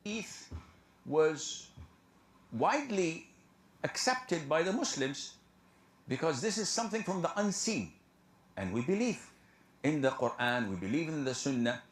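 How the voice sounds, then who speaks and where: A man's voice: fairly high pitch, sing-song, a little slow, long pauses. Earnest man preaching in an echoey room. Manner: earnest, lecturing. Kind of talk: religious lecture.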